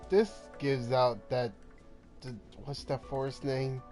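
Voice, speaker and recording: A man casually commenting as he plays a video game, playful and rambling, with quick outbursts. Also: medium pitch, flat delivery, darkish tone, faint music behind. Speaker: gaming streamer.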